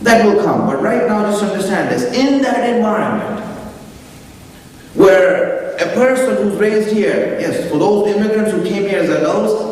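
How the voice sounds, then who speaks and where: A man's voice: high-pitched, a little mellow. Man, religious lecturer, earnest and repetitive, long hall reverb, distant mic. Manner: earnest, rhetorical, repeats phrases for emphasis. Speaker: lecturer at an Islamic center. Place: large hall of an Islamic center. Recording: echoey hall, mic heard from far off.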